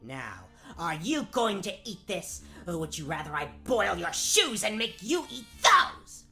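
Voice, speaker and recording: A woman's voice: fairly low pitch, sing-song, measured pace, faint music behind, heavily acted. A woman playing a wicked, short-tempered stepmother who nags and scolds in a comedic fairy-tale radio play. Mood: furious. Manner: scolding, exasperated, forceful.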